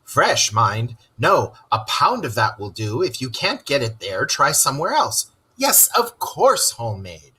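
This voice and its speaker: A man's voice: mid-range voice, very animated, a little slow, few pauses, pushed and bright, breathy edge, exaggerated voice. A man voicing a hearty, masterful rat who bosses everyone genially in a storybook read-aloud. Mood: brisk, ordering.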